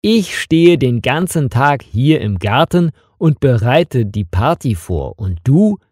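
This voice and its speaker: Mellow, expressive man reading slowly. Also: medium pitch, no room sound, studio quality. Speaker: story narrator for language learners. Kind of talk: slow scripted narration with acted dialogue.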